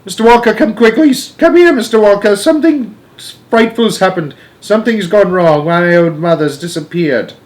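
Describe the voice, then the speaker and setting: A man voicing a distraught, wailing middle-aged woman in a storybook, shrill and full of exclamations. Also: expressive, normal speed. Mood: frantic alarm.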